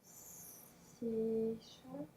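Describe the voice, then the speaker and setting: A young woman from Morocco, a student, introducing herself in class in a quiet, halting way. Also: on the high side, steady tone. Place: lecture room. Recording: echoey, noisy classroom from far off.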